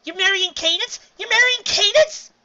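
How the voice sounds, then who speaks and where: A reader voicing an excitable young female unicorn pony who shouts in drawn-out, shrill bursts. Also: dull audio, noisy background, natural voice. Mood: giddy.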